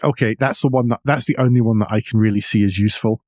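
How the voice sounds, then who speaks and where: A man's voice: mid-range voice, steady tone, fast, a little mellow, no room sound, clean studio sound. A middle-aged man co-hosting a podcast, chatting casually and wryly about the news. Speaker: podcast co-host. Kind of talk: casual discussion of car news.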